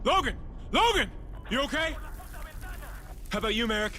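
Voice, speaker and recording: An actor playing an intense, vengeful young soldier in a war game, speaking urgently and forcefully. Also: male voice, extremely high pitch, very animated, moderate pace. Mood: alarmed. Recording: loud music and vehicle noise over voice. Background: loud music, vehicle sounds.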